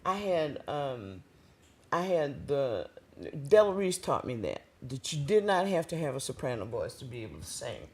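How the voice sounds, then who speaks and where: A woman with a deep voice, a guest singer chatting easily in an interview, with frequent pauses and "um"s. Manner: relaxed, chatty, says "um" often. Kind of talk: casual interview chat.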